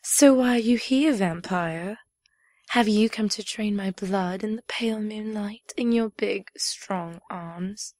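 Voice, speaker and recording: A woman's voice: medium pitch, slow pace, darkish tone, dry recording, lightly acted. Woman playing a teasing, sinister villainess, close and dry. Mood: mocking, seductive. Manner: teasing, theatrical, dropping into breathy murmurs. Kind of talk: fan audio drama acting.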